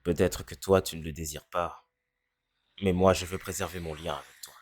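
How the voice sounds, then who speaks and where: Give me a gloomy, self-mocking teenage boy with a very deep, flat delivery, voiced by a fan dubber. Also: moderate pace, no echo. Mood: earnest. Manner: deadpan, self-deprecating. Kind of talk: French fan dub. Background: faint music.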